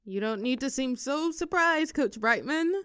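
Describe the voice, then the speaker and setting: A woman playing a popular high school cheerleader bully with a hidden soft side in an audio roleplay, teasing and sarcastic. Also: animated, a little slow, clean tone, no echo, clean studio sound. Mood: mocking, bored. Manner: teasing, sarcastic, with flustered stammers when cornered. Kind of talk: audio roleplay acting, one side of a dialogue.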